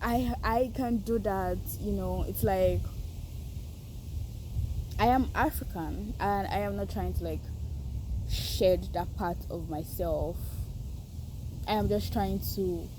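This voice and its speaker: A woman with a Nigerian accent reflecting aloud in an unscripted, hesitant way, pausing to search for words. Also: medium pitch, background noise. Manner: thoughtful, hesitant, searching for words, soft laughs. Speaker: participant sharing reflections. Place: outdoors.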